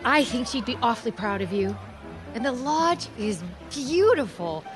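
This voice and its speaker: Detective's sidekick: highish voice, lively inflection, poised and teasing, radio mystery with music. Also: female voice, normal speed. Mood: sociable, sincere. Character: poised, teasing female associate of a private detective. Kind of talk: radio drama acting.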